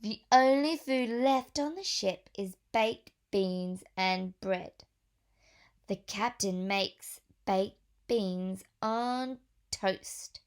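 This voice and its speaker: Slow expressive teacher reading to children. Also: female voice, medium pitch, clear, resonant tone. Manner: slow, expressive, with gentle whispered asides. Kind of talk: storybook read-aloud with questions to children.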